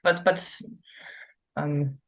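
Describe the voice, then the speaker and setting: A woman's voice: low voice, steady tone, drawn-out words. A Belarusian-born woman cellist whose first language is Russian, answering interview questions and searching for English words as she goes.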